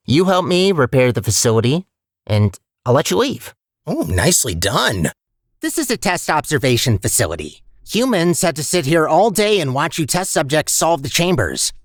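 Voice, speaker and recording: A man's voice: a little high, sing-song, moderate pace, dry recording, clean studio sound. A man voicing a chatty, scheming robot core in a video game, nervously upbeat and sardonic, with a wry, rambling manner. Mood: wry, bargaining. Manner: rambling, wry, self-interrupting. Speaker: game character guide.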